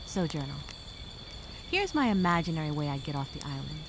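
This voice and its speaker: A woman performing a stranded castaway speaking a journal aloud. She is wry and weary, with a sarcastic streak of hope. Crickets and insects chirp clearly behind her. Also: sits low, sing-song, fairly quick, background noise, pitched below the performer's own voice. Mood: weary musing. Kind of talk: dramatic first-person journal monologue.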